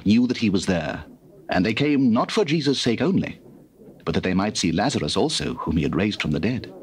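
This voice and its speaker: A man's voice: on the low side, breathy edge. A man narrating a dramatized scripture in a formal, solemn storytelling manner.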